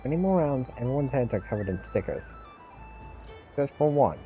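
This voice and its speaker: A man's voice: mid-range voice, big pitch swings, moderate pace, lo-fi sound, soft background music. A man giving casual livestream commentary as he plays a video game. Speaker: game streamer.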